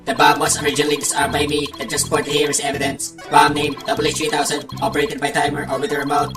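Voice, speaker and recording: Woman voicing a low, echoey, robotic male technician. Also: level tone, fast talker, natural voice. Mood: precise, flat. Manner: clipped, technical, formal, literal-minded. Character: robotic, precise male bomb technician and shifty witness. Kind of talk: fan-dub game character acting. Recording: echoey, distant mic, music underneath. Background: music.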